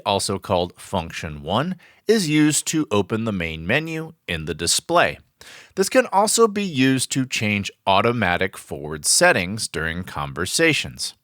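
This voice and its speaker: A man delivers scripted instructional narration with a polished presenter's delivery. His voice is bright and forward, with very animated, swooping intonation over a middle pitch. The sound is close and dry, like a studio voice-over. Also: no room sound. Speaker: training video narrator. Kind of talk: scripted product training narration. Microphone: close studio voice-over mic, dry.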